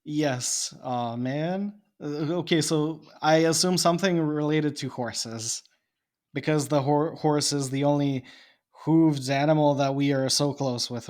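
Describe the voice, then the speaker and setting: A man's voice: mid-range voice, darkish tone. A man from Bosnia, a podcast guest, speaking English with a Bosnian Serbian accent. He muses and thinks aloud in casual chat, often laughing.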